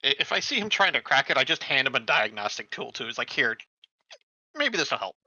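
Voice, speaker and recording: A man's voice: mid-range voice, sing-song, brisk, bright, forceful tone, no room sound. A man chatting casually as a tabletop role-play player, stammering and repeating words, on slightly dull audio. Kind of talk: casual in-game chat.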